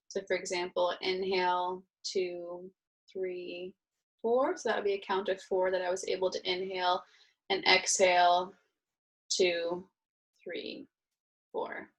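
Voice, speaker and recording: Woman guiding meditation, creaky voice. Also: medium pitch, rough recording. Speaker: meditation and yoga instructor. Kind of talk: guided meditation, gentle spoken instruction.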